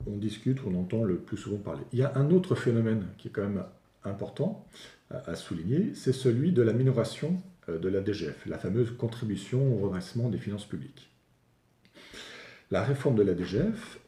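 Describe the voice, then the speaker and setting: A man giving a semi-improvised technical explanation in a didactic way, pausing often and restating his points in plainer terms. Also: medium pitch, warm tone, echoey room. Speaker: local public finance analyst explaining a reform. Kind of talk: spoken explanatory analysis, semi-improvised presentation.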